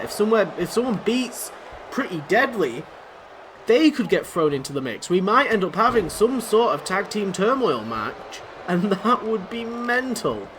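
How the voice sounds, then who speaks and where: Excitable man calling a match. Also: high-pitched. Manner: excitable, rambling, self-deprecating. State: losing his voice. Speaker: YouTuber commentating a wrestling video game. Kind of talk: play-by-play commentary. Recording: noisy background.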